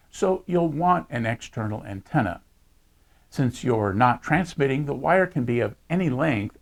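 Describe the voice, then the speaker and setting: An American man giving a casual product walkthrough, explaining things in an unhurried, plain-spoken way. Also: medium pitch, vocal fry. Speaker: host of a gadget review channel.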